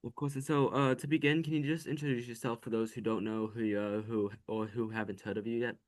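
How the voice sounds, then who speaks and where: Halting man hosting a podcast. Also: mid-range voice, steady tone. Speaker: podcast host, interviewer. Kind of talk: casual prepared interview questions.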